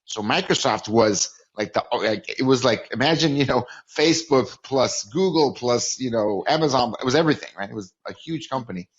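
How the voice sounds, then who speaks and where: A man's voice: mid-range voice, expressive, moderate pace, hardly any pauses. Mexican-born man, California accent, lo-fi sound. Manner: repeats and restarts words often. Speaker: guest. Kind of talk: casual interview storytelling.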